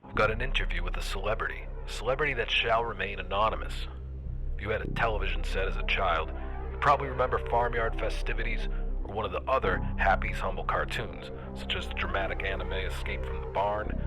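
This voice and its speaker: An actor playing a composed public radio investigative host, in a measured, polished presenter's delivery. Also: male voice, lowish voice, lightly acted. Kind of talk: scripted public radio narration and interview. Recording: music behind the voice. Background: music.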